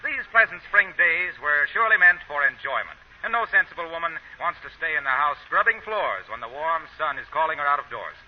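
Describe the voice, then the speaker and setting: A man's voice: fairly high pitch, expressive, fairly quick, few pauses, highs cut off. A man reading a 1930s radio advertisement as a polished, salesmanlike announcer. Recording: noisy, band-limited 1930s radio recording.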